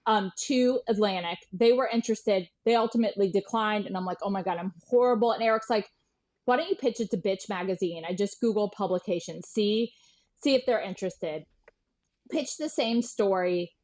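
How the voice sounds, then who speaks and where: A woman's voice: mid-range voice, moderate pace, a little mellow. A middle-aged woman speaking casually as an interview guest, chatty, candid and self-deprecating about herself. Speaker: guest journalist and writer.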